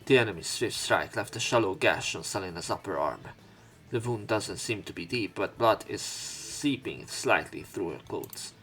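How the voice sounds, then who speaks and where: A man's voice: lowish voice, lively intonation, moderate pace, fairly bright tone. A man reading a visual novel aloud as a let's play narrator, dramatic in the story parts and casual in his commentary. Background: game music.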